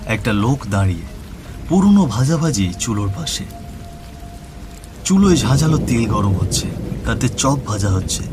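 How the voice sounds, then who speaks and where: A man's voice: medium pitch, very animated, normal speed, pauses often. A man narrating a scripted horror story as the storyteller. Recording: music bed with rain and thunder.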